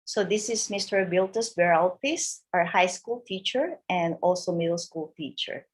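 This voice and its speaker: A woman's voice: lowish voice, normal speed. A woman acting as presenter gives an informal spoken introduction of her colleagues.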